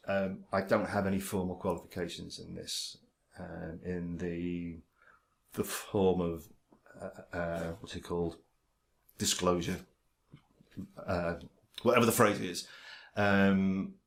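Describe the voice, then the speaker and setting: Guy w a managed stammer, kinda low voice, lots of ums n restarts, sounding. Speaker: vlogger talking about his stammer. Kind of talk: casual direct-to-camera talk.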